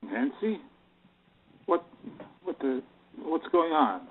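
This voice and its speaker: A man's voice: a little high, very animated, slow. A man playing a cornered, exasperated bank boss in an audio play, pleading and protesting. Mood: bewildered. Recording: tinny phone-like sound, clear background noise.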